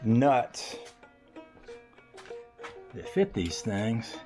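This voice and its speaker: A man's voice: lowish voice, very animated, drawn-out words, a little mellow, faint music behind. A man talking casually through a car repair, thinking aloud in a folksy way. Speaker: home mechanic vlogger. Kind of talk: casual workshop narration.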